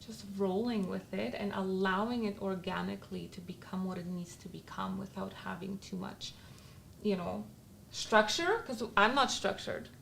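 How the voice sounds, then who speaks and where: A woman's voice: medium pitch, moderate pace, gravelly, a bit bright, slight background noise. A Polish-born woman with a Polish accent, chatting candidly as a guest podcast co-host and laughing often. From Poland. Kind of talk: casual chat.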